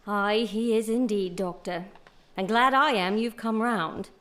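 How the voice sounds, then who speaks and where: A woman's voice: mid-range voice, a little slow, a bit bright, lightly acted. Casting: woman for homely, talkative Victorian housekeeper, middle register, fond and familiar, radio-play style. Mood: confiding. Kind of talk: audio drama acting.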